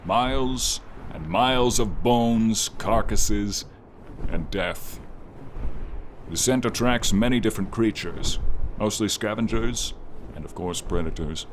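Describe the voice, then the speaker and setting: A man voicing a gentle, wise, lonely dragon in a slow, intimate ASMR fantasy roleplay, with a deep, gravelly, breathy voice and measured, pensive, formal phrasing. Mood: grave, explanatory. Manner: measured, pensive, slightly formal, with frequent pauses. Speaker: voice actor in roleplay audio. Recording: rain or ambient noise behind. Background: light rain, outdoor ambience.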